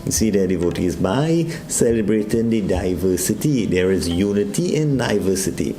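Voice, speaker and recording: A Trinidadian man gives a devotional talk on a morning broadcast, preaching to his listeners. His voice sits low and mellow, with sudden high swoops in pitch, and the sound rings in an echoey room. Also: expressive, normal speed, a little hiss or hum. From Trinidad and Tobago.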